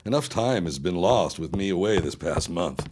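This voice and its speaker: A man's voice: deep voice, lively intonation, normal speed, background noise. An actor playing a cold, domineering 1910s businessman husband in an audio drama, brusque, dismissive and commanding. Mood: impatient, businesslike. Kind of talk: period audio drama acting.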